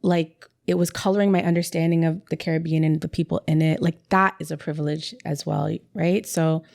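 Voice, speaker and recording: A woman's voice: deep voice, fairly even intonation, brisk, creaky, darkish tone. A young adult woman, a guest in a casual interview, talking in a chatty way with many "like" fillers and repeated words. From Canada.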